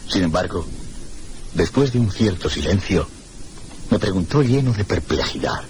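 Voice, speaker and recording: An actor playing a reflective gentleman who narrates a mystery in a measured, literary radio drama style. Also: sits low, animated, noisy background. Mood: reflective, grave. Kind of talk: scripted first-person radio drama narration.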